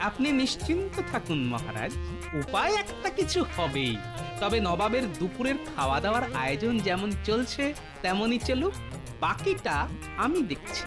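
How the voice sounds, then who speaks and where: An actor voicing a calm, clever, grandfatherly jester in a cartoon, who speaks with quiet assurance. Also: sits high, moderate pace. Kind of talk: cartoon voice acting. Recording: studio dub with background music.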